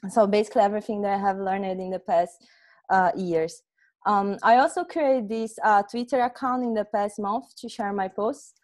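A young woman from Brazil speaks with a Brazilian accent at an average pitch. She presents informally on a video call, pausing often in a conversational way through an earphone headset. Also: steady tone, darkish tone. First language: Portuguese. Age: young adult. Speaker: presenter, software testing specialist. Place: small room at home, indoors.

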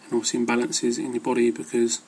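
A man's voice: medium pitch, monotone, fast, a little mellow, a little hiss or hum, rough recording. A man giving casual, rambling advice as an amateur fitness vlogger, hesitant and loosely worded.

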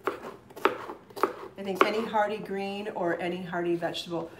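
A woman's voice: mid-range voice, steady tone, measured pace. A middle-aged woman hosting a live cooking demonstration in her home kitchen, chatting and explaining as she chops, recorded by a fixed camera across the counter. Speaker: cooking show host. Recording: echoey kitchen with chopping noise. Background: knife chopping on a cutting board.

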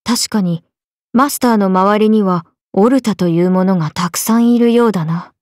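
A woman's voice: mid-range voice, moderate pace, darkish tone, no room sound, studio quality, lightly acted. A woman voicing a young swordswoman character in a video game. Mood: calm, blunt. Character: swordswomen: a lively young one and her stoic alter self.

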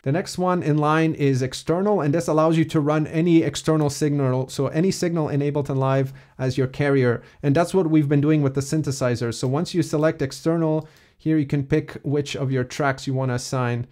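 A man in his thirties explains software step by step in an informal, methodical tutorial style, recorded on a close mic. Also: on the high side, fairly even intonation, fairly quick. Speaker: music production tutor. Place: small home studio room.

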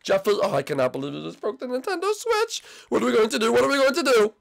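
A man voicing an excitable, easily panicked young boy in a puppet comedy skit, whiny and stammering as he exclaims. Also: a little high, rapid-fire.

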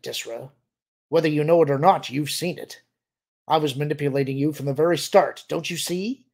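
A man's voice: on the high side, creaky, darkish tone, voice pushed up. A man voicing a fanatical, boastful army major in an audiobook, desperate and vengeful, with a snarling, agitated manner. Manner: snarling, sneering, agitated, insistent.

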